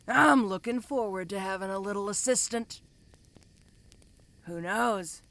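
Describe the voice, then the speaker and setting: A woman's voice: medium pitch, unhurried, a bit bright, no echo. A woman voicing a domineering giant ogre mercenary in an audio roleplay, speaking to the listener in a casual, commanding, teasing way. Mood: pleased. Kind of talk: first-person audio roleplay addressed to the listener.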